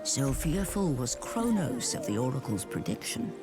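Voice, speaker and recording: An actor playing an ancient Titan guide, narrating an epic video game tale in a solemn, portentous manner. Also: male voice, mid-range voice, very animated, moderate pace, over loud music, natural voice. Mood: solemn storytelling.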